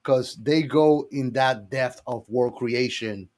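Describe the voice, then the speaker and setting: A man's voice: medium pitch, slow, darkish tone. Guy podcast host, fan chat, really emphatic and playfully argues his point. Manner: playfully argumentative, enthusiastic, emphatic.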